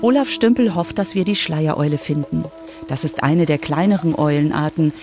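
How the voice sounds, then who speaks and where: A woman's voice: deep voice, normal speed, music in the background. A woman reporter giving scripted narration for a children's radio story.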